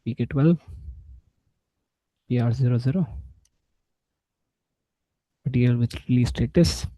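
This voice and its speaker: A man giving an informal software walkthrough, explaining step by step in a halting way. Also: medium pitch, dry recording, poor audio. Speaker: SAP instructor.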